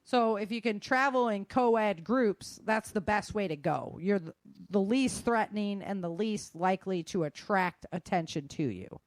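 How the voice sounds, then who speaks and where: A female host talks in a conversational, emphatic way, addressing the audience directly. Her pitch sits in a middle range for a woman, and the sound is dry with very little room around it. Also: expressive, a little slow, hardly any pauses, no echo. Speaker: host of a self-defense and survival show. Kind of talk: casual spoken advice to listeners.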